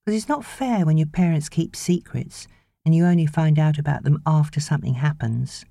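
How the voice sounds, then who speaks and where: A woman's voice: low voice, moderate pace, vocal fry, mellow, dry recording. A woman voicing a chatty, caring teenage girl babysitter who gets a little exasperated, in an audiobook story.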